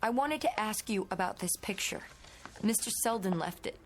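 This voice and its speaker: A woman's voice: mid-range voice, animated, moderate pace, a little hiss or hum, lightly acted. A woman playing an anxious, bewildered grown woman in a radio drama, earnest and questioning in short phrases. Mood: demanding, bewildered. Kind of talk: old-time radio drama acting.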